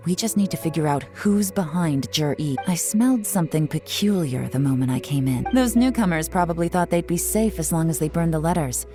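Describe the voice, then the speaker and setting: A woman voicing a shrewd, composed investigator in an anime game, deliberate and self-assured. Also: low voice, warm tone, close to an everyday voice. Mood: analytical. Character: cool, shrewd woman investigator leading a covert inquiry. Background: game music.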